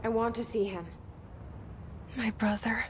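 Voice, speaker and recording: A woman's voice: fairly high pitch, level tone, unhurried, a little hiss or hum, natural voice. An actress playing a guarded, determined young woman in a video game, with naturalistic delivery. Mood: urgent, worried. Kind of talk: naturalistic game acting with inner monologue.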